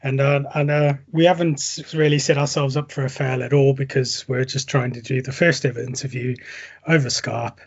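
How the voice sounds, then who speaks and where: A man's voice: mid-range voice, flat delivery, moderate pace, husky, dull audio. A man hosting a casual podcast interview with his brother, talking in an encouraging, conversational way. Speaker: podcast host. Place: England.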